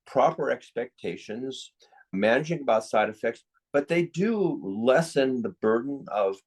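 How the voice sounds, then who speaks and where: A man's voice: medium pitch, a little mellow, husky, poor audio. Candid male psychiatrist in interview. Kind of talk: conversational expert interview.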